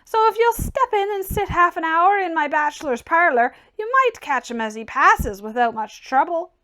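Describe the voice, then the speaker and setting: A woman voicing a brash, mocking bachelor gentleman in a storybook, theatrical and emphatic in delivery. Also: very high voice, animated, moderate pace, pitched above the performer's own voice, exaggerated voice. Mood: bluff, hearty. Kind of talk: audiobook character dialogue.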